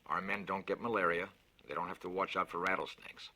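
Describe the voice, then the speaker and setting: Man voicing a deep, insistent moon-base official. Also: steady tone, brisk, pushed and bright, heavily acted, rough recording. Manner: persuasive, insistent. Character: defensive male lunar engineering official giving sales talk.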